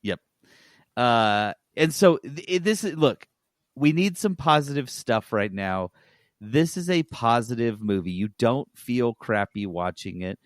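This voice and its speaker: A man's voice: medium pitch, animated, unhurried, no room sound, clean studio sound. A man co-hosting a podcast, giving a casual, conversational recommendation and repeating points for emphasis. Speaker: podcast co-host. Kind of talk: casual review-style podcast chat.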